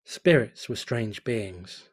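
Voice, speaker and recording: A man reading a fanfiction story aloud in a measured, dramatic storytelling manner. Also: on the low side, animated, husky. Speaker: fanfiction reader.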